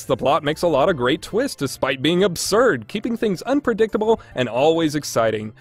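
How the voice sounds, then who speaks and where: Male game reviewer, slightly high voice with animated swings, scripted countdown narration, informal and opinionated. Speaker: video game reviewer and host.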